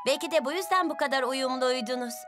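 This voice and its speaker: A woman's voice: sits high, fast, natural voice. A woman voicing a patient, encouraging female teacher car in a dubbed children's cartoon. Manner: warm, guiding, encouraging. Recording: music bed under voice.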